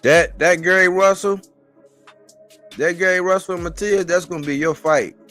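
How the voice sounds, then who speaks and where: Man, forties, slightly high voice, colloquial sports-stream commentator, music underneath. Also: steady tone, moderate pace, sharp tone. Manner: conversational, colloquial, repeats phrases for emphasis. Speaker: boxing commentator on a live stream. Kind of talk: casual sports chat.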